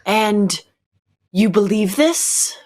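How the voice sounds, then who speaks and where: Woman as a slow, commanding rebel captor. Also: mid-range voice, lively intonation, darkish tone. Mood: probing. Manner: deliberate, probing, imperious. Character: commanding, knowing rebel captor challenging orthodox belief. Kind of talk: cold-read old-time radio sci-fi drama.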